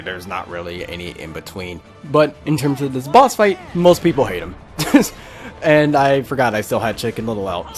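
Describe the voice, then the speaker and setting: A man giving casual, halting live game commentary, breaking off to exclaim at the game. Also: mid-range voice. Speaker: gaming video creator.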